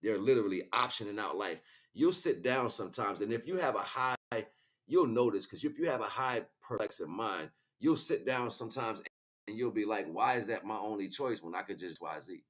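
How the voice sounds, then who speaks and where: Middle-aged male livestreamer, low-pitched, casual, conversational, loosely rambling spiritual talk. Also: animated, fairly quick, a bit bright. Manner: conversational, frequent "you know what I'm saying" tags. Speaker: livestream host.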